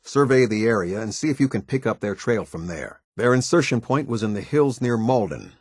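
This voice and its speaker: Low-voiced, slightly husky military captain, strict and formal, issuing clipped orders for a video game. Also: male voice, very animated, brisk, dry recording, clean studio sound. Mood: stern, commanding. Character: stern, disciplined male military captain giving orders. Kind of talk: scripted video game dialogue.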